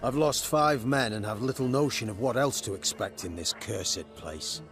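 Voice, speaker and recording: Pompous, forceful zealot commander. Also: male voice, lowish voice, normal speed, soft background music. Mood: grim, businesslike. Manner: pompous, preachy, haughty. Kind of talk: video game cutscene acting.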